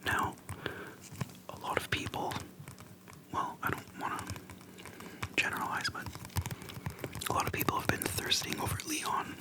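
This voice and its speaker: An ASMR host speaking in a soft, breathy unvoiced whisper, slow and hushed with pauses between short phrases, close to the microphone. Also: poor audio. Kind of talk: whispered ASMR, relaxed personal chat. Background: tapping on a plastic case.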